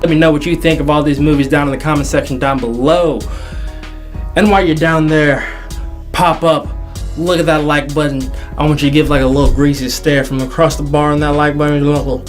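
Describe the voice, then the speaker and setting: A man with a fairly high voice hosts a jokey online countdown. He talks casually and emphatically, doubling back on phrases to hammer them home, with faint music underneath. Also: flat delivery, fairly quick. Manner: emphatic, repeats phrases for effect. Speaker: host of a video game movie countdown show. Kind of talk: casual, jokey video commentary. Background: faint background music.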